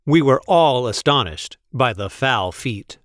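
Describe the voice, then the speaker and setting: A man reading a picture book aloud expressively, with deliberate, playful emphasis on the sentences. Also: medium pitch, lively intonation, fairly bright tone, dry recording, studio quality. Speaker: read-aloud storyteller.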